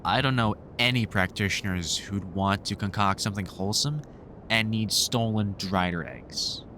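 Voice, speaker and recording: Over a slight rumbling background comes a fairly low male voice, a man acting. He is a weary, kindly vampire hunter in an audio drama, mentoring a newcomer. He speaks informally and haltingly, with dry humour. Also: bright, forceful tone, a little hiss or hum. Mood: grim, regretful. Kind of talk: audio roleplay, conversational monologue to the listener.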